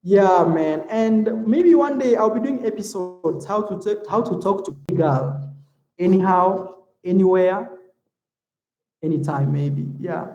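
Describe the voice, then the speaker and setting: A man from Africa, with a high, mellow voice, chats in a relaxed, easygoing way on a livestream. He answers viewer comments and drops "man" and "bro" into his talk. The sound bounces around a roomy, echoey space. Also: unhurried, muffled recording. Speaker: YouTube vlogger. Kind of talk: casual livestream chat, reading viewer comments.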